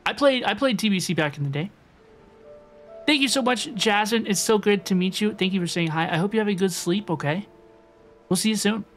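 A woman's voice: medium pitch, fairly quick. Chatty young woman streamer, music behind. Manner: chatty, repeats phrases. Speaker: game streamer. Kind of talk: casual live-stream chat and gameplay commentary.

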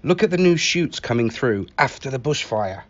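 A man reading a picture book aloud in an expressive, animated manner, acting out the exclamations. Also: medium pitch, moderate pace, lo-fi sound. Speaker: library staff story reader.

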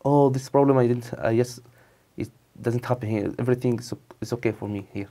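Mellow young Arabic-accented man, halting English. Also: mid-range voice, fairly even intonation. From Saudi Arabia, of Tunisian family. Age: 20s. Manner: halting, repetitive non-native English. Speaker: interview guest, medical student. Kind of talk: conversational TV interview. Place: dark TV studio, indoors. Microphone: lapel mic.

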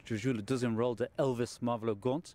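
A man gives interview answers at a medium pitch. He speaks haltingly, often stopping to search for words. He is outdoors with a mic held out to him. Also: fairly even intonation, warm tone. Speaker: actor being interviewed. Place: outdoors in front of a large mansion. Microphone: small mic held out by interviewer.

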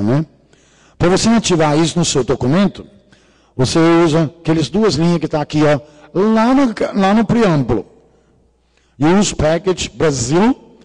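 A man's voice: a little high, a little mellow. A middle-aged man from Denmark speaking Portuguese with a Danish accent, giving a jokey, irreverent conference talk with casual swearing and grammar slips. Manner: non-native grammar slips. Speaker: lecturer. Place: large lecture hall. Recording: reverberant hall.

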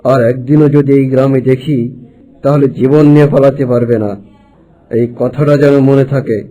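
A man's voice: mid-range voice, little pitch movement, moderate pace, mellow, close to an everyday voice, low-quality recording. A man playing a hostile village man in a cartoon fairy tale, menacing and commanding. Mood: threatening. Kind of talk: Bengali animated fairy-tale acting.

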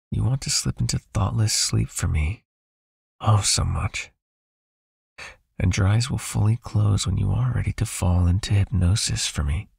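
A man voicing a possessive, soothing vampire hypnotist in an ASMR roleplay, with a hushed, coaxing manner and a raspy, creaky, breathy voice. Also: low voice, no room sound, studio quality, full character voice. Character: possessive soothing male vampire hypnotist, controlling yet caring.

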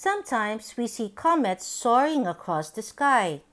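A woman with a fairly high voice reads a children's picture book aloud. Her delivery is very slow and deliberate, with lively, swooping intonation meant for toddlers. Each phrase is set out simply and expressively. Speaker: children's book reader, channel host.

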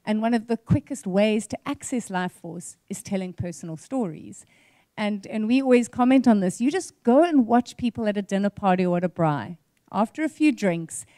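Lively South African woman on stage. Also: mid-range voice, dry recording, clean studio sound. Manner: lively, expressive advice. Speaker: panel speaker. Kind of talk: stage panel talk. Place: stage in event venue. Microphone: handheld mic.

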